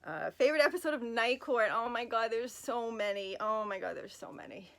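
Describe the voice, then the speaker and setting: A woman's voice: a little high, fairly quick, fairly bright tone. A woman with a Brooklyn accent, chatting casually and rambling with fillers as she answers fan questions from her bed, recorded on a phone. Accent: Brooklyn New York. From Brooklyn, New York. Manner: chatty, rambling, self-deprecating, lots of fillers and asides.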